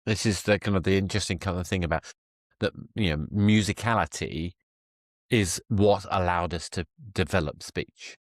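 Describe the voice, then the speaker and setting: A man's voice: low voice, moderate pace, no echo, studio quality. A man hosting a conversational interview podcast, talking discursively as he reflects and sums up.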